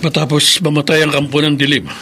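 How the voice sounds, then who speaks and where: An actor playing a relieved sergeant in a horror radio drama. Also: male voice, fairly high pitch, level tone, quick pace, pushed and bright, slight background noise.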